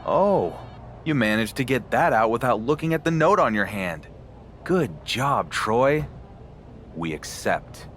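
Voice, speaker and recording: A man's voice: mid-range voice, animated, unhurried, a bit bright, slight background noise. A man playing a dry, sarcastic, defiant champion wrestler in a video game story.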